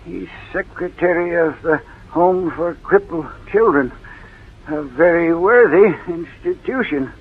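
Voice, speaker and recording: An actor playing a sly, ailing old uncle in 1940s radio drama, deliberate and needling. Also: male voice, a little high, husky. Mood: sardonic. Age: older. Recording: muffled old radio recording, narrow band.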